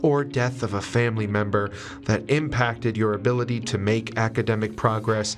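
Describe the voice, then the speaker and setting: A man reading scripted explainer narration in a clear, instructional manner as a voice-over narrator. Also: fairly low pitch. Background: music.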